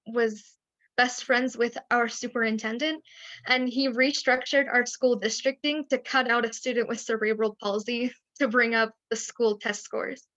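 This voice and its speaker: A woman's voice: a little high, steady tone, normal speed, a bit bright, dry recording. A woman on a video webinar telling a personal story candidly, with rueful little laughs along the way. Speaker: webinar panelist. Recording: dry, dull lo-fi sound.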